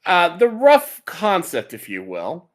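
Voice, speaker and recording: Animated, lively man chatting on a podcast. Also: sits high, takes it slowly. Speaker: podcast host. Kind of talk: casual chat about anime.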